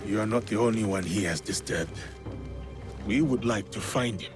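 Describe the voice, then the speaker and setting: An actor playing a calm, solemn warrior man in a video game, speaking in a measured, protective way. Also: on the low side, close to an everyday voice. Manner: measured, deliberate. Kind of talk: video game cutscene acting. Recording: game music behind the voice.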